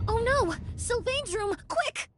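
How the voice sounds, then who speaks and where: An earnest young female investigator in a game. Her voice is high and lively, thoughtful and caring, with faint music underneath. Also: takes it slowly, exaggerated voice. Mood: alarmed. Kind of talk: video game dialogue acting.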